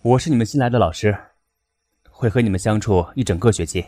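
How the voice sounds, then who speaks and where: A man playing a calm young male law professor with a composed, measured, gently authoritative manner in a radio drama. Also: lowish voice, close to an everyday voice. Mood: composed authority. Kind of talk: Chinese campus radio drama acting.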